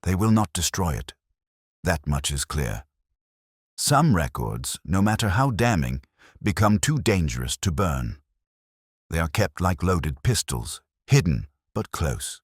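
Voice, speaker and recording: A man acts out a brooding Victorian gentleman detective in an audiobook. The voice sits extremely low, with expressive swings in pitch. His phrasing is formal, terse and deliberate. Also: breathy edge, no room sound, clean studio sound, full character voice.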